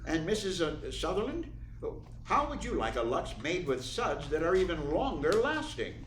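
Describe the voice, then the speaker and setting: An actor playing a polished 1940s radio announcer, who delivers sponsor pitches and dramatic narration. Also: male voice, sing-song, moderate pace. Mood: upbeat, selling. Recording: roomy echo, faint noise.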